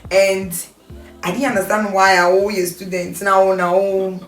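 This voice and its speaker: A Ghanaian woman chats about old times and tells her stories with lots of laughter, acting out the people she quotes. Her pitch sits in a middle range. She is recorded a little away from the mic in an echoey room, with music clearly playing underneath. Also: measured pace. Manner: lively storyteller, laughing, acting out quoted voices. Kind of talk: casual reunion chat, reminiscing. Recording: roomy sound, mic at a distance, music behind.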